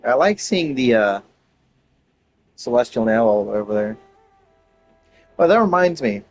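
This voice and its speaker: A man's voice: medium pitch, sing-song, unhurried, faint music behind. Rambling, sweary male game streamer. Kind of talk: casual playthrough commentary. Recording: faint background game noise.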